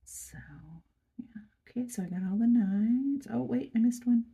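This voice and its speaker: Brief: stuffy-nosed woman craft host, gravelly low edge, chatting softly on a phone camera at work. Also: moderate pace. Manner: soft, chatty, murmuring to viewers. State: stuffy nose. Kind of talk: casual chat while crafting. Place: at her workplace.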